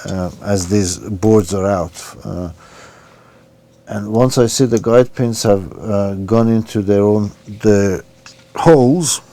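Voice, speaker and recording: A man's voice: on the low side, slow pace, dark tone. A man narrating an informal hands-on repair tutorial, giving careful step-by-step instructions and sometimes muttering to himself. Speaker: repair demonstrator.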